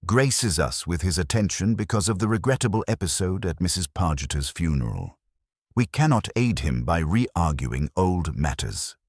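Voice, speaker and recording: Evasive chairman read by a male narrator: very low voice, formal, placating, mystery story. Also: big pitch swings, normal speed, dry recording, studio quality, full character voice. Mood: smoothly dismissive.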